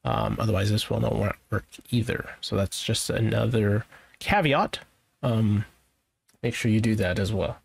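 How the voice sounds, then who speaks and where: A man presenting a software tutorial in a casual, informal way, thinking aloud as he goes. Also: fairly low pitch, moderate pace, vocal fry, slightly rough. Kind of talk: casual screen-share software walkthrough.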